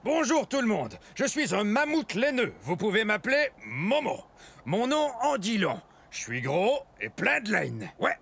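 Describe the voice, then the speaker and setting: An actor voicing a big, good-natured, goofy woolly mammoth, cheerfully chatting to viewers in an educational cartoon. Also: male voice, high voice, measured pace, creaky, a little hiss or hum, heavily acted. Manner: cheerful, expressive.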